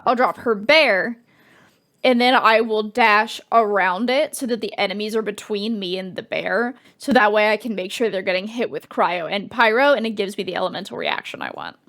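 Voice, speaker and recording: A woman's voice: mid-range voice, moderate pace, fairly bright tone. A woman streamer chatting casually while playing a video game, rambling and restarting her sentences. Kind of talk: casual gameplay commentary.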